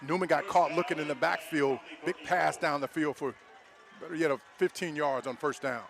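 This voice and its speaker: Slightly high-voiced American football analyst man. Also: steady tone, moderate pace, vocal fry, a crowd in the background. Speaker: color analyst. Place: live at a football stadium.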